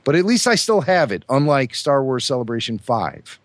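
A man co-hosting a podcast, hoarse from a cold, chatting enthusiastically about film trivia. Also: medium pitch, big pitch swings, normal speed, background noise. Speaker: podcast co-host. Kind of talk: casual chat.

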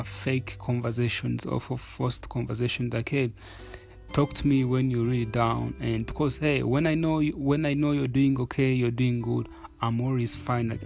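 A man from Uganda talks through his thoughts in a candid, reflective way, stopping and restarting often. His voice is gravelly and sits in an even, flat band, with faint music playing underneath. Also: medium pitch. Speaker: podcast host. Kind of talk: casual solo podcast talk.

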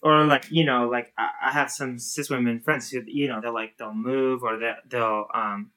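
Woman, 30s, low voice, hesitant, musing, casual chat. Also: fairly even intonation, normal speed, a bit bright, rough recording. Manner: hesitant, many fillers and restarts. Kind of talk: casual conversation.

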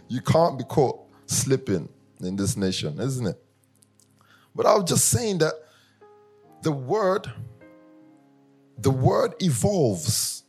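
A man from Nigeria preaching a sermon, telling humorous personal stories in a lively way that rises into sing-song emphasis. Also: medium pitch, slow, pauses often, breathy edge, faint music behind. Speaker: pastor.

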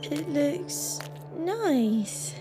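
A woman's voice: high voice, sing-song, drawn-out words, over music, exaggerated voice. A woman playing a sweet, lovestruck young female raccoon in an audio drama. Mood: sweet, fond.

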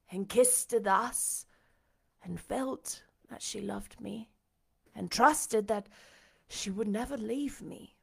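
Woman acting an anguished, imperious blind gentleman. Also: mid-range voice, creaky, exaggerated voice. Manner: dramatic, demanding, emotional. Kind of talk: audiobook character acting.

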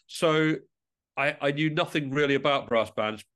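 A man's voice: fairly high pitch, moderate pace, fairly bright tone, clean tone. A man from England talking as an interview guest in a casual, reflective and discursive way.

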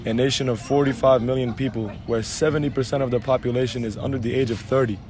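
A man's voice: medium pitch, fairly even intonation, fast talker. A man from Algeria, a guest, speaking in an assertive, emphatic, speech-like way. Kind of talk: conversational interview.

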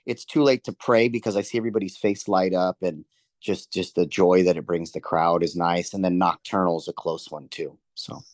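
A man's voice: deep voice, steady tone, moderate pace, no echo, clean studio sound. A man chatting casually in an interview as a touring musician, with slangy, informal phrasing. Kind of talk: casual chat.

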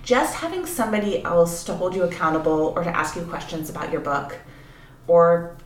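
A woman's voice: lowish voice, normal speed. A woman co-hosting a casual podcast as an editor, explaining things in a thoughtful way. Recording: echoey room with background noise.

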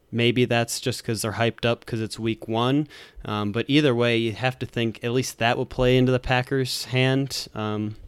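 A man's voice: fairly low pitch, smooth, no echo. A man co-hosting a sports podcast, casually breaking things down in an informal, detail-heavy way. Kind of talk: casual sports analysis chat.

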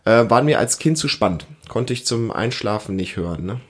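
A man around his thirties or forties hosting a casual family podcast, explaining things and gently prompting with questions. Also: medium pitch, expressive, a little fast, breathy edge. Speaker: podcast host, father interviewing his child.

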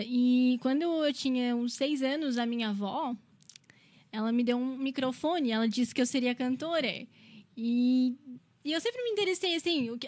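A woman in her twenties from Brazil, a singer chatting casually as an interview guest, often ending phrases with a questioning tag. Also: sits high. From Criciúma, Santa Catarina, Brazil. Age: 20s.

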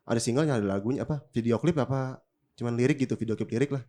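A man's voice: mid-range voice, racing, dark tone. A man with a Jakarta Indonesian accent and a slightly nasal, stuffed-up voice, co-hosting a podcast in slangy, joking, casual chat. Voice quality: congested, slightly nasal from a recent cold. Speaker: podcast co-host.

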